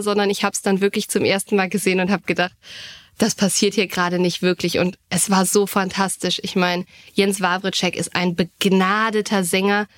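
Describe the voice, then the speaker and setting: A woman's voice: medium pitch, dry recording, clean studio sound. A woman chatting casually and enthusiastically as a podcast co-host, with a gushing way of talking.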